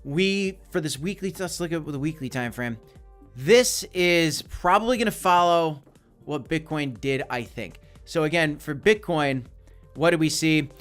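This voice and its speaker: A man hosting a casual crypto live stream, chatty and hyped, calling out viewers by name, his voice going hoarse. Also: a little high, unhurried, a bit bright, soft background music. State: losing his voice.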